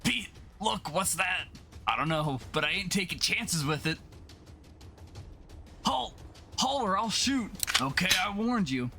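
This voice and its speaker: A man playing jumpy working-class everymen, cabbies and cops, in a colloquial, excitable comic-book drama. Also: high voice, unhurried, pauses often. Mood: alarmed. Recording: music behind the voice.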